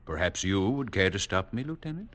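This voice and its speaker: A low-voiced, superior gentleman villain of vintage radio drama. He speaks with formal, measured courtesy, his pitch gliding widely as he condescends to those beneath him. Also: very animated, noisy background. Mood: smug, challenging. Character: courteous, coolly superior male leader of a hidden underground people. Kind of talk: 1940s radio drama acting.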